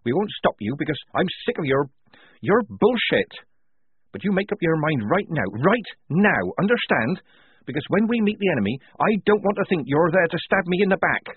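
A man's voice: mid-range voice, huge pitch swings, moderate pace, husky, no room sound, voice pushed up, exaggerated voice. A man voicing a short-tempered young woman soldier in an audiobook, giving firm, clipped orders. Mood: angry. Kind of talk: audiobook character acting. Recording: tinny phone-like band.

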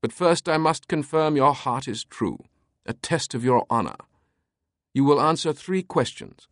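A man voicing a theatrical, playful British aristocrat in an audiobook, with a crisp, light voice and a mock-grand British accent. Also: medium pitch, expressive, measured pace, dry recording, clean studio sound, voice pushed up. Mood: playfully pompous. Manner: pompous, dramatic, mock-solemn.

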